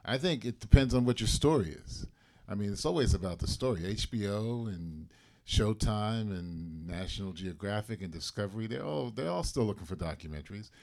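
Low-voiced man panelist, emphatic. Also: lively intonation, fairly quick, vocal fry, darkish tone. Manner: emphatic, repeats key points. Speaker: panelist on documentaries. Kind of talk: panel discussion.

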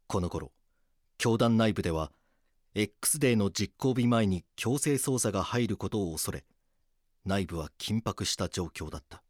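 A serious male police detective, played by a man narrates and acts in an audio drama. The voice is on the low side and very dry, measured and stern, with a caring streak. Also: darkish tone, no echo, close to an everyday voice. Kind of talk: scripted documentary-drama narration and acting.

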